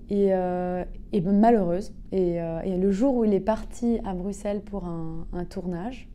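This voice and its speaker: A woman's voice: mid-range voice, dark tone, a little hiss or hum. A woman in her twenties from the south of France, a singer and actress telling her story in an interview with many hesitations. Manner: hesitant, many filler sounds, laughs easily. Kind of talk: casual interview talk.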